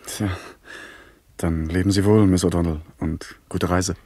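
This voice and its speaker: An actor playing a polite, earnest young gentleman in a German radio play. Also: deep voice, fairly even intonation, measured pace, no room sound.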